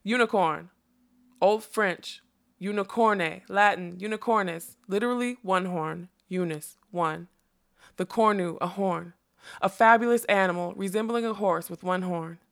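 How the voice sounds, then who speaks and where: A woman's voice: medium pitch, moderate pace, a bit bright, lightly acted. A woman voicing a whimsical, scatterbrained male butterfly in a storybook, theatrical and rambling, given to bursts of song and quotation. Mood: absentminded, declaiming. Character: whimsical scatterbrained male butterfly who sings and quotes nonsense. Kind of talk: acted audiobook storytelling.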